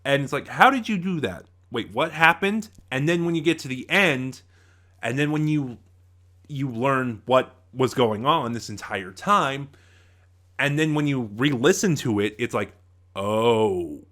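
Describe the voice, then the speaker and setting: A man reviewing in a casual, unscripted way as host, halting at times, trailing off and circling back mid-thought. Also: mid-range voice, lively intonation, bright, forceful tone.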